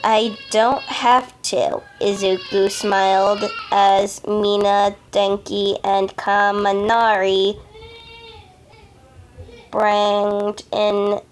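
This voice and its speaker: A woman reading a story aloud as an amateur, haltingly, often stumbling over words and correcting herself. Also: mid-range voice, little pitch movement, slight background noise. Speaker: amateur fan fiction reader.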